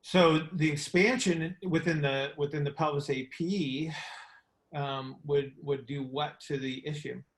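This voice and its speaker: Man, fairly high-pitched, informal coaching explanation, halting repeated phrases, checking questions. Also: steady tone. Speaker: host and coach teaching on a group call. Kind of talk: informal explanatory teaching on a conference call.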